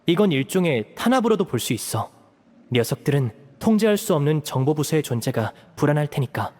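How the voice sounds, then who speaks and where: A man voicing a composed, protective young elder brother in an anime-style game, explaining things in a measured, serious way. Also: fairly high pitch, very animated, a little mellow. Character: composed young magician, protective eldest brother, earnest strategist.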